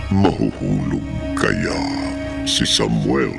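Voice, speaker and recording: A man announces a horror radio serial in a cavernously low, heavily gravelly voice. His ominous scripted delivery is extremely slow and theatrical, with music playing behind. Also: sing-song. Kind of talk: scripted dramatic narration.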